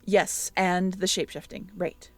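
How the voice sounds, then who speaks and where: A woman's voice: fairly low pitch, flat delivery, measured pace, a bit bright, no room sound. A woman in an intimate audio roleplay, musing aloud as she reads through notes and checks back with the listener.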